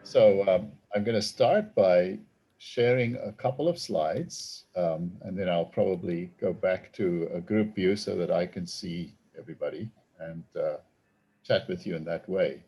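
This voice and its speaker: A middle-aged man with a South African accent, a theology professor giving an online talk about his book in a measured, thoughtful way with frequent ums, heard over a video call. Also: low-pitched, mellow, slightly rough, highs cut off.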